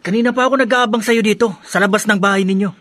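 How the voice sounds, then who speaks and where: An actor playing a vengeful man who hunts demons, melodramatic, with a high, raspy voice in a horror radio drama. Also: fairly even intonation, fast talker, fairly bright tone. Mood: taunting, vengeful. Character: vengeful taunting human male, hunting a demon with cross.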